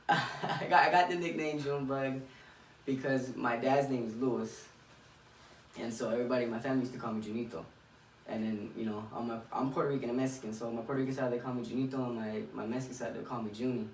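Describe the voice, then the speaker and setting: A young man from Miami talks casually as a boxer being interviewed, feeling his way through answers and circling back on phrases. His mid-pitched voice sits away from the mic in a very echoey room with faint noise. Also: fairly even intonation, fast, far from the mic. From Miami, United States.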